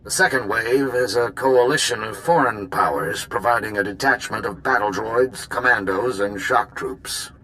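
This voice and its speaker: An actor playing a resolute military supreme commander in a video game, giving a formal, firm briefing. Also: male voice, moderate pace, few pauses, pushed and bright. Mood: stern, resolute. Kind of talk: scripted video game acting. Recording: noisy, rumbling military transmission.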